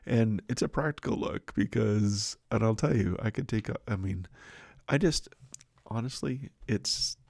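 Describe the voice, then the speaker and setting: A middle-aged man hosts a devotional reflection with a low, gravelly voice. He talks loosely and with self-deprecating humor, restarting his sentences and filling them with ums. The sound is close and dry, with almost no room around him. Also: unhurried, darkish tone, no room sound. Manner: rambling, self-deprecating, many restarts and fillers. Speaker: host of a devotional livestream and podcast.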